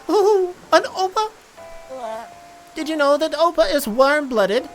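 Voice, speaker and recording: A woman's voice: high-pitched, lively intonation, takes it slowly, faint music behind, voice pushed up, low-quality recording. A woman voicing an eager, curious lover of sea life in a video game, bubbly and enthusiastic. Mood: excited.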